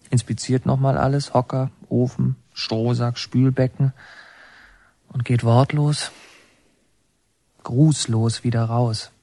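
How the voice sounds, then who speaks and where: An actor playing a brooding young inmate who narrates his memories in a radio play, halting, reflective and poetic. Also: mid-range voice, warm tone, no room sound. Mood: sober, observant.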